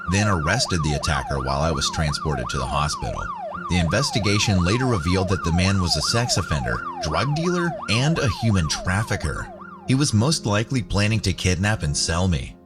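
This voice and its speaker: A man narrating a scripted first-person story. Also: low voice. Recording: music bed under the voice. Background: background music.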